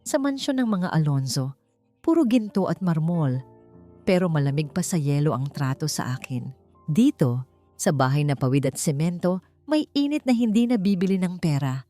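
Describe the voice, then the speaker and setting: A woman narrating a dramatic first-person audiobook story in an emotive, deliberate manner. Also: deep voice, lively intonation, dark tone, faint music behind.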